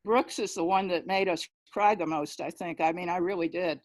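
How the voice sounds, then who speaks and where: A woman talking in a measured, emphatic, explanatory way during a literary discussion on a video call. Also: lowish voice, fairly even intonation. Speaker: discussion participant, questioner.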